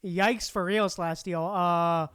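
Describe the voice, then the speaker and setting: A woman's voice: on the low side, fairly even intonation, slow, fairly bright tone, clean tone. A woman streaming a game with casual, musing, sweary commentary, reading game text aloud.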